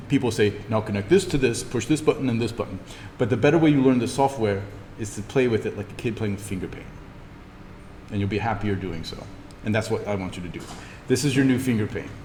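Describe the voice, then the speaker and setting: A man teaching an informal in-class software tutorial, thinking aloud haltingly as he demonstrates. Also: medium pitch. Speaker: instructor teaching 3D animation software. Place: classroom, indoors. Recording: echoey room with background noise.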